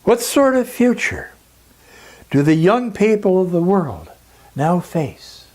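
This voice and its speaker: A speaker delivering a dramatic scripted monologue in a grave, emphatic, oratorical manner with deliberate pauses. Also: medium pitch, big pitch swings, darkish tone.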